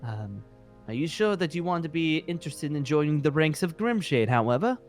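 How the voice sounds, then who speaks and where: A man playing a polite, eccentric guild wizard in improvised roleplay, genteel and halting. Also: fairly high pitch, expressive, soft background music, close to an everyday voice. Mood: politely insistent. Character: polite, eccentric male guild wizard who sniffs out dragons.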